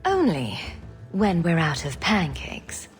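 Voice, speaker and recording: An actress playing a self-assured, sarcastic champion in a video game, with dry, deadpan delivery. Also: female voice, lowish voice, slow, faint music behind, full character voice. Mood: defiant, wry. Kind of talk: video game cutscene acting.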